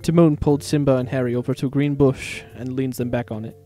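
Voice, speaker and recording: A man's voice: medium pitch, steady tone, fast, dark tone, faint music behind. A man giving a dramatic narration of a story as its narrator.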